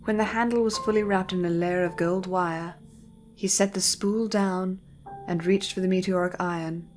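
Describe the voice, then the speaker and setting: A woman narrating a scripted story in a measured, literary storytelling manner. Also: fairly low pitch, steady tone, darkish tone, a little hiss or hum.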